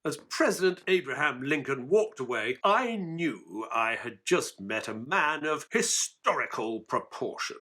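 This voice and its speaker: An actor playing an earnest Victorian gentleman doctor who narrates a case in an audio drama. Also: on the high side, expressive, measured pace, hardly any pauses, full character voice. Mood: earnest storytelling.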